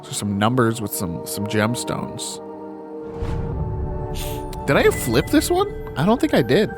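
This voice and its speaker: A man's voice: medium pitch, wildly swooping pitch, unhurried, over music. A young man streaming a game live, playful and chatty, sniffly, reading the game text aloud. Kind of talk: casual live game commentary.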